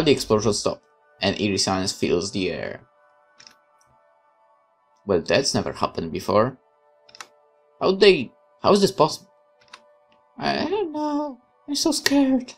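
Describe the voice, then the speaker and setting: A woman's voice: rumbling low, husky. A woman reading a game's story aloud and adding casual commentary as she plays. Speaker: game player narrating a playthrough.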